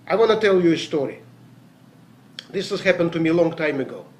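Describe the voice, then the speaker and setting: A man's voice: fairly high pitch, expressive, fairly quick. A man, giving an earnest, rambling motivational talk about network marketing success, often correcting himself mid-sentence. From Latvia. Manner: earnest, rambling, self-correcting, non-native grammar. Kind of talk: motivational talk with personal stories.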